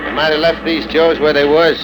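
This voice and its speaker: An actor playing a weary, blunt army medical sergeant with colloquial soldier talk in a 1950s radio drama. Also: male voice, fairly high pitch, fairly even intonation, brisk, natural voice. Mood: grim. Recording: very noisy old radio drama.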